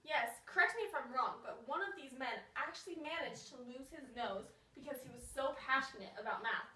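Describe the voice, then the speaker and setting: A woman's voice: high voice, expressive, a little fast, bright, forceful tone, a little hiss or hum, rough recording. A woman anchoring a mock news skit in a chatty, stumbling way. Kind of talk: acting out a mock news show.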